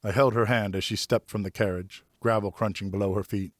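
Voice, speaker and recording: A man with a fairly low voice reads a novel aloud. His delivery is scripted first-person storytelling from a professional audiobook narrator. The sound is close and dry, with very little room. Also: fairly even intonation, fairly quick, dry recording.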